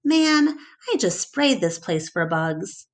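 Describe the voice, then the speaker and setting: A woman voicing a gruff, dismissive male animal keeper in a storybook, grumbling as he talks. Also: medium pitch, big pitch swings, slow. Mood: annoyed. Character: gruff, dismissive man who keeps a gorilla.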